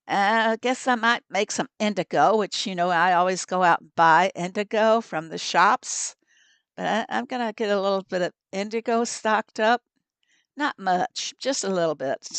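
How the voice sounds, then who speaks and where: A hoarse woman chats through a game livestream, her voice a little cracked but upbeat and friendly. She talks straight to her viewers at a mid pitch, laughing easily. The sound is dry and close, with no room around it. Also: fairly bright tone, no room sound. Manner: chatty, upbeat, laughs often, talks to chat. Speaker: game streamer. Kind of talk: casual livestream chat to viewers.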